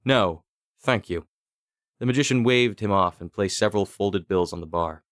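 A man narrating a scripted fantasy audiobook with deliberate, dramatic storytelling. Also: fairly low pitch, dry recording, clean studio sound.

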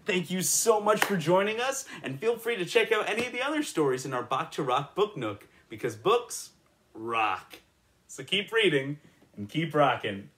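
Animated young American man reading to kids. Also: on the high side, normal speed. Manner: playful, expressive, asking the listener questions. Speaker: children's story reader. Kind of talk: animated picture-book read-aloud for young children. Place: indoors, small room in front of a patterned cloth.

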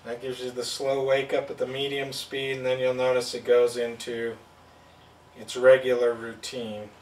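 A man's voice: medium pitch, completely flat delivery, normal speed, recorded from a distance, slight background noise. A man giving a plain, step-by-step product demonstration at a table indoors. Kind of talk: hands-on product demo. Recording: roomy sound from off-mic.

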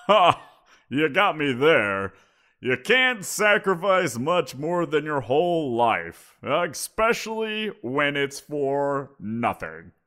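A man's voice: a little high, big pitch swings, takes it slowly, sharp tone, heavily acted. A man voicing a giant wolf creature in an audio roleplay, teasing and amused, chuckling as he talks. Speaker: voice actor.

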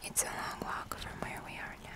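A young woman playing a gentle, wistful moth-human hybrid of the woods in a slow, intimate fantasy ASMR roleplay. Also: natural voice. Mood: awed, curious. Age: young adult. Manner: slow, soft, entranced, intimate.

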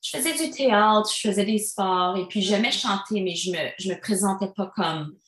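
A woman's voice: medium pitch, normal speed, fairly bright tone, echoey room. A Franco-Albertan woman in her thirties, a singer-songwriter guest, talking in a casual, chatty way with many fillers. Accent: Franco-Albertan French. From Alberta, Canada. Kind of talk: casual interview talk.

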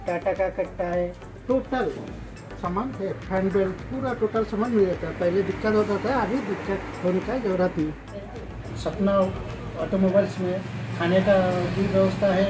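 A man's voice: high-pitched, background noise. A plain-spoken man in his thirties from India, a garage mechanic casually explaining his services and repeating himself.

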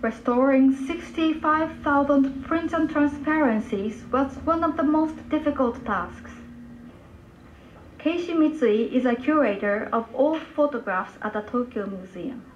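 A woman's voice: sits high, unhurried. A woman narrating a scripted news report, replayed over speakers in a room. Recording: muffled, noisy playback in a room.

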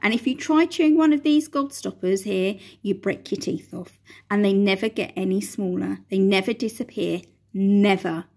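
A woman reading aloud and voicing an eccentric, excitable male chocolate maker, raising her voice to sound bright and bossy. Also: mid-range voice, moderate pace, natural voice. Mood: proud. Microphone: phone camera at close range.

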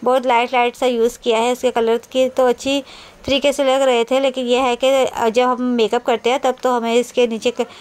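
A woman's voice: on the high side, level tone, moderate pace, darkish tone, clean tone. A woman with a Pakistani accent narrating a casual shopping vlog as a voice-over, chatty and rambling, often repeating herself. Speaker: vlogger.

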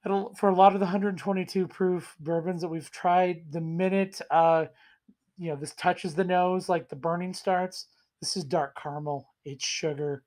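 Hoarse man with a cold reviewing whiskey. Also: sits high, fairly even intonation, moderate pace, a little mellow. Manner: rambling, self-deprecating, enthusiastic. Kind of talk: casual tasting vlog. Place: small room indoors at home.

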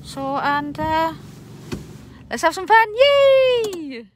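Slow-talking mum. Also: female voice, extremely high pitch, sing-song, a little hiss or hum. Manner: chatty, cheering on. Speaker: mother filming a family outing. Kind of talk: casual vlog narration. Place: bowling alley, indoors.

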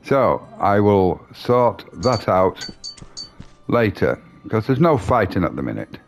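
A man with a fairly low voice gives casual gameplay commentary. His pace is slow and his intonation lively, with music underneath. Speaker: gaming video host.

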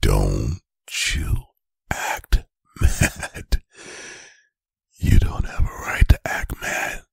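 A man playing a stern, angry, domineering boyfriend in a whispered ASMR roleplay, breathy and gravelly, with slow, deliberate, menacing phrasing. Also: a bass voice, wildly swooping pitch, no echo, clean studio sound, heavily acted. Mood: menacing scolding. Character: stern, angry, domineering boyfriend scolding his adult partner. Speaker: ASMR voice performer. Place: bedroom, close and dry.

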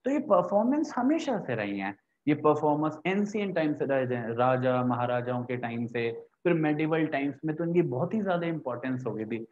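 A young man gives an explanatory lecture in a fairly high voice. He repeats key points and asks questions that he then answers. The sound is slightly dull and lo-fi. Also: animated, moderate pace, darkish tone, a little hiss or hum. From India. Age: young adult. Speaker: law teacher. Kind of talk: lecture to camera, Hindi mixed with English terms. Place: small indoor room with a whiteboard. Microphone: lapel mic.

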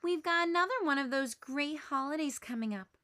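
A woman's voice: sits high, moderate pace, sharp tone, voice pushed up. A woman voicing a bossy, crabby little girl in a storybook, with a pushy, emphatic delivery. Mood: smug.

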